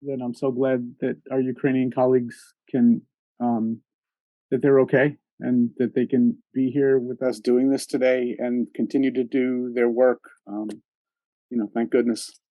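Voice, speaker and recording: Man, participant, heartfelt, mid-pitched, dry dull call sound. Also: little pitch movement, unhurried, dry recording. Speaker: symposium participant. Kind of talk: spoken comment in online discussion. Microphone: video call. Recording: dry, muffled call audio.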